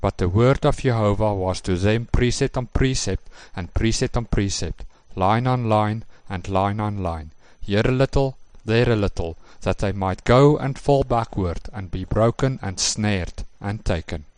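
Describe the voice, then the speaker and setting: A man in a recorded lesson presentation, reading scripture passages in a measured, formal way. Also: on the low side, darkish tone, no echo.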